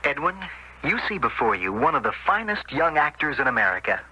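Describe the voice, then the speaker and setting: A man's voice: mid-range voice, very animated, brisk, pushed and bright, breathy edge, heavily acted, poor audio. A boastful young card hustler from a 1970s radio play. He is theatrical and pleased with himself, with a piercing voice that swoops dramatically. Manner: theatrical, self-satisfied. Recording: old 1970s radio broadcast.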